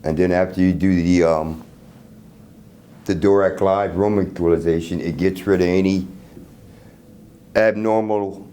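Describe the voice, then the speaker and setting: A middle-aged man casually explaining his product in an interview, halting and repeating words as he goes. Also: deep voice, steady tone, dark tone. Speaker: loudspeaker creator being interviewed. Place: hotel room at an audio show. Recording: noisy room ambience.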